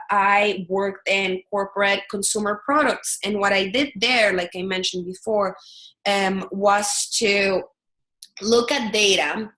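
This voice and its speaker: A woman from El Salvador with a Salvadoran Spanish accent presents a business webinar. She speaks at a middle pitch, earnest and steady, with frequent fillers and restarts. The sound carries a noticeable echo of the room. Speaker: product strategist.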